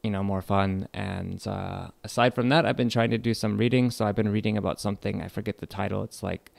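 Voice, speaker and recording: Young Californian lecturer, low gravelly voice, relaxed and halting, dry close sound. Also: male voice, no echo. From California, United States. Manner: relaxed, hesitant, many fillers and restarts. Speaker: English literature lecturer. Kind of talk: casual radio interview.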